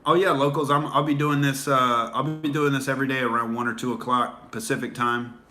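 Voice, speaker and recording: A man's voice: medium pitch, fairly even intonation, brisk, music in the background. A man hosting a casual livestream, rambling with many fillers and restarts while answering viewer comments. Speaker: livestream host.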